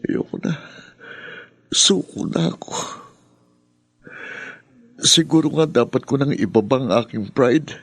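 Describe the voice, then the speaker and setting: Proud, wounded younger brother in radio drama: husky mid-range voice, Filipino accent, emotionally raw. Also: male voice, mid-range voice, lively intonation, unhurried, pauses often, fairly bright tone, no room sound. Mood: resigned, sad. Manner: sarcastic, emotional, dramatic delivery. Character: proud, wounded younger son, bitter and self-reproaching, later humbled.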